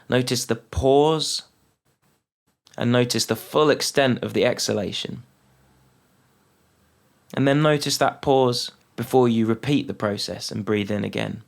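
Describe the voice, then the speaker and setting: Gravelly-voiced man guiding a meditation. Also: mid-range voice. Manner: calm, unhurried, with long pauses between phrases. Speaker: meditation guide. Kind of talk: guided mindfulness meditation.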